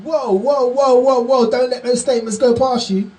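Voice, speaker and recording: A male radio show host talking live, excitable and exclamatory, often repeating his phrases. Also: very high voice, normal speed.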